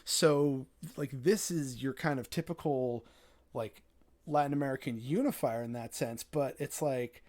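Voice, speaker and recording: A man's voice: medium pitch, measured pace, darkish tone. A man speaking as an interview guest in a loose, chatty way, packed with fillers like "like". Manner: loose, filler-heavy talk, many "like"s. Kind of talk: casual conversational interview.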